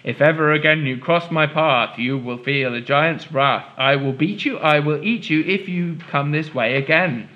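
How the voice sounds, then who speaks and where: A huge, hungry giant booming out chanted threats, loud and menacing, as a man reads him aloud. The voice sits a touch high for a man. The recording is dull and lo-fi. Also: moderate pace, hardly any pauses, natural voice. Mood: roaring.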